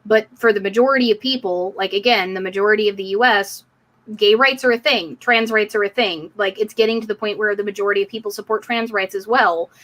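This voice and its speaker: A woman's voice: mid-range voice, fairly bright tone. A woman hosting a live community discussion stream, speaking in an emphatic, outspoken, casual way. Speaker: livestream host.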